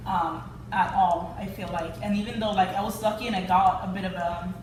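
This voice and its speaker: A woman's voice: mid-range voice, brisk. Young Swedish-raised woman chatting, echoey room. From Sweden. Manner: relaxed, rambling, many "like" fillers. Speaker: actor, co-host. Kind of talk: casual chat. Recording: echoey room, mic far off, noisy.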